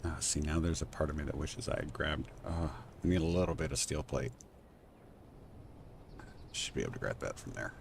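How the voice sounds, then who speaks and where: A man streaming a game from home, thinking aloud and answering chat in a soft, unhurried voice. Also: gravelly, a little hiss or hum. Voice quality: low, soft, relaxed voice. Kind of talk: casual live-stream gameplay chat.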